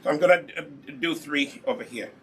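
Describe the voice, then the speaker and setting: Bright, husky Ugandan man demonstrating live. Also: a little high, moderate pace. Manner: instructive, repeats key points. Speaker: host, natural hair product seller and demonstrator. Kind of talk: live demonstration, casual chat with viewers.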